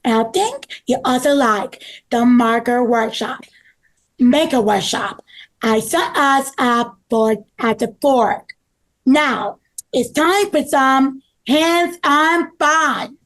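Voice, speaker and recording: A woman's voice: high-pitched, slow, a bit bright, low-quality recording. A woman playing a cheerful, organized group leader, upbeat and eager, in a scripted table read. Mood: pleased.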